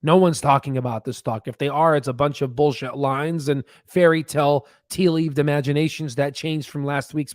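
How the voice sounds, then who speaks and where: A man in his thirties hosting a livestream on stock analysis, slightly hoarse, animated and emphatic, repeating points, speaking close into a boom mic in a home studio. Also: no echo. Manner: animated, emphatic, repeats phrases, drops to whispers. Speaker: stock channel host. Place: home studio room, indoors. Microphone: podcast mic on boom, close.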